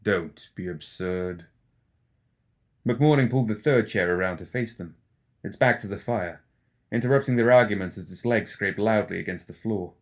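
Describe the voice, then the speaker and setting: A man's voice: lowish voice, fairly quick. A man reading scripted fiction as an audiobook narrator. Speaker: audiobook reader.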